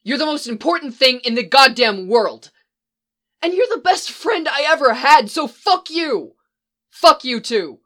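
A woman voicing a reckless young male agent, shouting and swearing bitterly. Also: medium pitch, big pitch swings, normal speed. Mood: furious.

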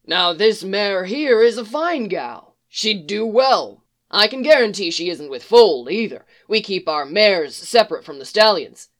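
A woman's voice: medium pitch, animated, measured pace, close to an everyday voice. A woman voicing a bearded, folksy horse seller pushing his stock. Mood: salesy. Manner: salesy, folksy, hesitant. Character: bearded middle-aged male stable seller, folksy.

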